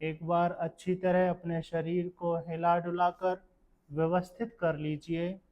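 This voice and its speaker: A man with a slightly high voice leads a guided meditation at a very slow pace. His delivery is calm and measured, giving gentle step-by-step instructions. The recording sounds somewhat dull and lo-fi. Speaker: yoga nidra meditation guide.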